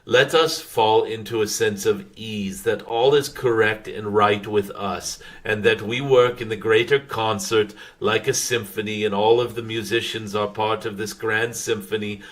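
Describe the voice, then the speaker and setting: A man channeling a wise, gentle dragon spirit, giving spiritual guidance in a halting, formal way with frequent humming pauses. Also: fairly low pitch, steady tone. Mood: calm, instructive. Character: wise, gentle dragon spirit addressing followers as dear ones.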